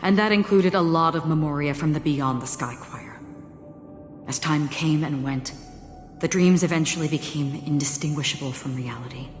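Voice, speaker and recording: An actress voicing a composed, serious young woman who calmly explains things in a game. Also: low voice, moderate pace, lightly acted. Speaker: game character. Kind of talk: voiced game dialogue. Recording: roomy game audio with music.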